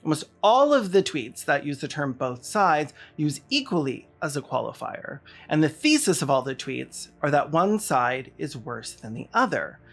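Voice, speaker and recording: Video essay host, mid-pitched, sardonic and emphatic scripted commentary over light music. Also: sing-song, normal speed, vocal fry, hardly any pauses.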